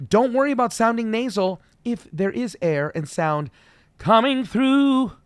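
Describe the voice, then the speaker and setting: A middle-aged man teaching as a vocal coach, friendly and explaining slowly with demonstrations. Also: very high voice, expressive, smooth, dry recording. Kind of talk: solo video voice lesson.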